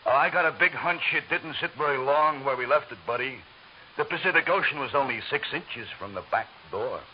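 A man's voice: mid-range voice, fairly quick, slight background noise, natural voice. Guy doing a dim house mover, stumbles over words, lo-fi old radio recording. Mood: puzzled. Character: working-class male house mover, slow and dim. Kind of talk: 1940s radio acting.

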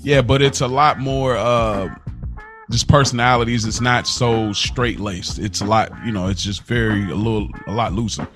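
A man's voice: mid-range voice, steady tone, moderate pace, a bit bright, faint music behind. A young adult man co-hosting a casual podcast, talking in an easygoing, rambling way. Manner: easygoing, rambling, restarts sentences. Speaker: podcast co-host.

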